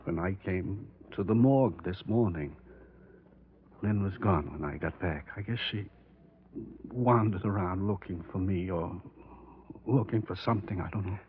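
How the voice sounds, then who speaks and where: An actor playing a grieving, resigned middle-aged doctor and father in old radio drama, speaking haltingly with a weary, subdued manner. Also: low voice. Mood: weary, confessing. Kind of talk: 1950s radio drama acting. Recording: muffled, noisy old radio recording.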